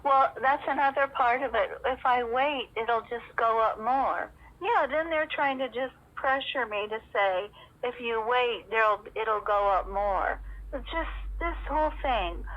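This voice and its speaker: A woman's voice: on the high side, unhurried. A middle-aged woman talking casually on a recorded phone call, rambling and hesitant as she goes. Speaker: caller in a personal phone conversation. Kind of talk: casual private phone chat. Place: telephone call. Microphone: telephone.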